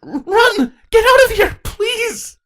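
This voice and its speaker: A woman's voice: very high-pitched, sing-song, slow pace, a bit bright, a little hiss or hum, pitched above the performer's own voice, exaggerated voice. A woman voicing a frightened, crying teenage female changeling in a storybook reading. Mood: desperate. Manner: tearful and desperate.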